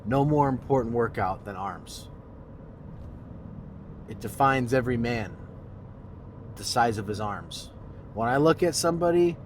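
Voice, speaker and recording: A man's voice: fairly low pitch, background noise. A man with a congested, nasal voice, chatting in a joking, boastful way as a fitness vlogger while driving. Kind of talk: casual vlog while driving. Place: car.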